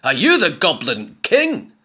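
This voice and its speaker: A man voicing a group of male goblins lurking in a cave, in a storybook read-aloud, drawn-out and high. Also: huge pitch swings, sharp tone, slightly rough, muffled recording, exaggerated voice. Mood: eerie.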